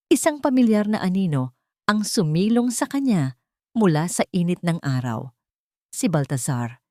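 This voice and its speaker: A woman narrating a scripted romantic drama story in a measured, sentimental way. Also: on the low side, darkish tone, no echo, clean studio sound.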